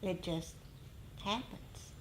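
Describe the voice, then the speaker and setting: Slow-paced woman teaching, some background noise. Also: fairly low pitch, rough recording. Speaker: meditation teacher leading group discussion. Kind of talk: unscripted dharma talk with group discussion. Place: group meditation meeting room. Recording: clear background room noise.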